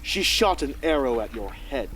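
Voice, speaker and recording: A man's voice: mid-range voice, very animated, moderate pace, bright, forceful tone, a little hiss or hum. A man playing a cold, calculating, condescending dictator in a radio drama, with pointed, menacing delivery. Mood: stern. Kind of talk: hobby radio drama acting.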